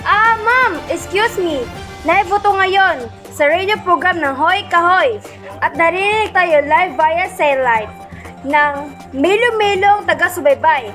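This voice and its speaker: A high female voice acted by a woman as a courteous radio field reporter. She asks formal, polite interview questions in a radio play, with faint music behind her. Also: lively intonation, measured pace, a bit bright, heavily acted. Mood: polite, inquiring. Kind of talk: mock live radio interview.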